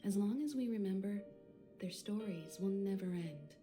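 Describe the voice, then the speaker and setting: A woman voicing a wise, gentle mentor in a video game, speaking in a composed, philosophical manner. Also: medium pitch, expressive, normal speed, natural voice. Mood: solemn, reflective. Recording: game audio under music.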